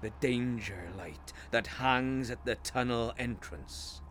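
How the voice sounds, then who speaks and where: An actor playing a troubled railway signalman in a radio ghost story, speaking gravely and haltingly with dramatic intensity. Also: medium pitch, slight background noise. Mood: haunted, dread. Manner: grave, halting, dramatic storytelling with hushed drops and anguished outbursts. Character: haunted, troubled railway signalman telling of a spectre. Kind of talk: radio play acting of a Victorian ghost story.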